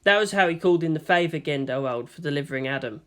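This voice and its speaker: A woman's voice: deep voice, fast. A woman reading scripted fan fiction as a story narrator.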